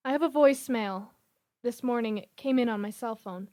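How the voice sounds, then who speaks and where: A woman's voice: a little high, brisk, warm tone, natural voice. A woman voicing an earnest, talkative bank manager who gets flustered easily. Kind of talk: amateur 1930s-style radio drama parody.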